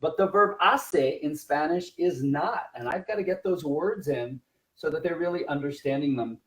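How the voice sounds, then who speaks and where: A man's voice: on the high side, moderate pace, a bit bright, husky, low-quality recording. A man who teaches languages, talking conversationally as he explains classroom technique in a webinar, repeating words for emphasis.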